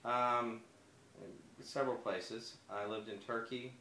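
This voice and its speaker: A man in his forties being interviewed, talking in a hesitant, soft-spoken way with many fillers and restarts. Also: mid-range voice, fairly even intonation, slow. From United States. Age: 40s. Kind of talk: personal interview. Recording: echoey room, faint ambient noise.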